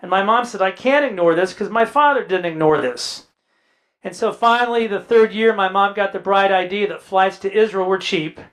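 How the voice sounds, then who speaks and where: High-voiced man in his sixties telling family stories. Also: moderate pace, a bit bright, poor audio. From Indiana, US Midwest. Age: 60s. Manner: anecdotal, quotes family members in dialogue, dry humor. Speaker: guest speaker. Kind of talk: personal storytelling talk.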